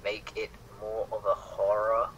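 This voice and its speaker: A man's voice: on the low side, completely flat delivery, unhurried, dull audio, a crowd in the background. A man chatting casually as a fan, rambling loosely with lots of "like" and some swearing. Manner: rambling, full of "like", often swearing. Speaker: co-commentator in a fan discussion. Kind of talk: casual fan chat about comic book films. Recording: noticeable background noise, amateur recording.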